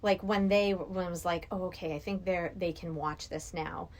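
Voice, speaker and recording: A woman's voice: fairly low pitch, fairly even intonation, moderate pace, darkish tone. A middle-aged woman chatting casually as a podcast guest, telling anecdotes and voicing what people said. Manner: anecdotal, acts out quoted dialogue. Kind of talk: casual film discussion.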